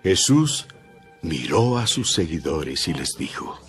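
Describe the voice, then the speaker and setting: A man narrating a dramatized Bible story in a measured, solemn scripted style. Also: sits low, big pitch swings. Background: prominent music.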